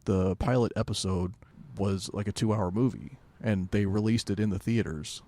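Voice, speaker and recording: Low-voiced man chatting haltingly. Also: dark tone. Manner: halting, rambling, self-correcting. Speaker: podcast co-host. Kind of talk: casual pop-culture chat.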